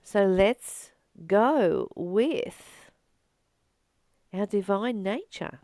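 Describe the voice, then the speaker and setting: A woman reading from a spiritual book and commenting on it informally, in an earnest, emphatic manner with deliberate pauses. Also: mid-range voice, animated, warm tone, no room sound, studio quality.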